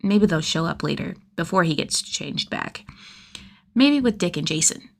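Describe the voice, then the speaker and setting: Lower-pitched woman reading a story expressively. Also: animated, moderate pace, gravelly. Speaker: fan fiction narrator. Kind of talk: animated scripted story narration.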